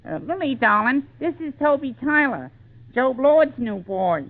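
Casting: skinny, henpecked sideshow fellow, very high folksy voice, tinny old radio sound. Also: male voice, big pitch swings, moderate pace. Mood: meek, doting. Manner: folksy, mild, doting. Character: meek, amiable living-skeleton circus man, henpecked husband. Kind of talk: old-time radio drama acting. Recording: tinny band-limited 1940s radio recording.

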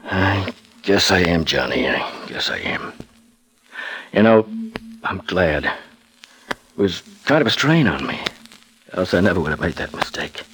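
An actor playing a weary, guilt-ridden working man in a 1940s radio drama, speaking in a halting, subdued way. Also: sits low, very animated, fairly bright tone, heavily acted, low-quality recording. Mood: resigned, relieved. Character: weary, guilt-ridden male typesetter, quietly resigned.

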